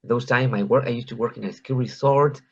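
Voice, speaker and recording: A man's voice: medium pitch, brisk, breathy edge. Peruvian Spanish-accented man, lo-fi sound. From Peru. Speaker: club member. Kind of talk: casual conversation practice.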